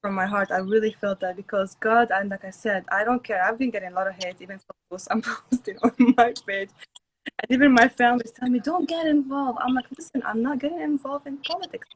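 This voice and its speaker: A woman from Lebanon speaks on a casual live-stream chat. She is earnest and emphatic, and she restarts sentences as she pushes her point. Her voice is fairly high, with faint noise underneath. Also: steady tone, quick pace, rough recording. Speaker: guest.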